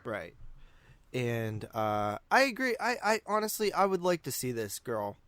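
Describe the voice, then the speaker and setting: A man's voice: mid-range voice, big pitch swings, a little slow, fairly bright tone, no echo. A man co-hosting a podcast, chatting casually about movies in loose, conversational phrasing that often circles back on itself. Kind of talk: casual film review chat.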